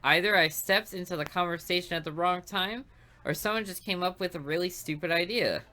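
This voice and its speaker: A woman's voice: lowish voice, brisk, bright, forceful tone, soft background music. A woman reading a visual novel aloud, narrating in a dry, sarcastic first person.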